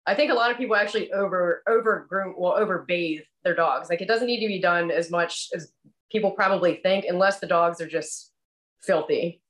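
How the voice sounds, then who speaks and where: A young adult woman, a dog groomer, answering questions in a relaxed, chatty way over a video call. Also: lowish voice, a little fast. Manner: relaxed, chatty, many "like" fillers. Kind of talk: casual interview answers. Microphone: video call webcam.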